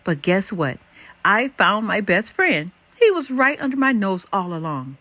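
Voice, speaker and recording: Woman voicing an earnest, expressive little porcupine fellow. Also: mid-range voice, measured pace, no room sound. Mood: delighted. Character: earnest, friendly male storybook porcupine seeking a best friend.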